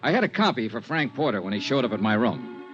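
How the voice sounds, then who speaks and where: An American actor playing a dogged, hard-boiled insurance investigator in a 1950s radio drama, narrating and talking in a clipped, terse way. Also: male voice, medium pitch, big pitch swings, fast talker, muffled recording, music in the background, close to an everyday voice. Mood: matter-of-fact narration. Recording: vintage 1950s radio recording.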